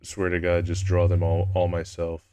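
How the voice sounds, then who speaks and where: A young man with a low voice, chatting casually with his live-stream chat in a laid-back, rambling way at a desk mic at home. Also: monotone, moderate pace, warm tone, clear, resonant tone, no echo.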